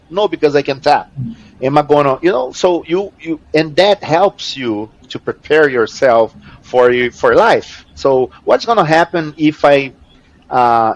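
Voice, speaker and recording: A man from Brazil with a Brazilian accent and Portuguese as his first language, answering casually in an interview, repeating words as he searches for them. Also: fairly high pitch. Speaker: interview guest, jiu-jitsu athlete.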